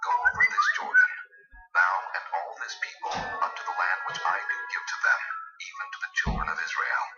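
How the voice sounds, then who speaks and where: A man playing God in dramatized scripture, a solemn, commanding divine voice speaking slowly and majestically. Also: deep voice, wildly swooping pitch. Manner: slow, grave, majestic. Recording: muffled audio with background music.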